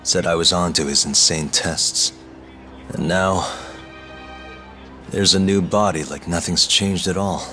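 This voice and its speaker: A world-weary detective in a video game, with a deep, low voice and a dry, sardonic edge. Music runs underneath his lines. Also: steady tone, unhurried, sharp tone. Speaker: detective, main character. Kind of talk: video game dub acting.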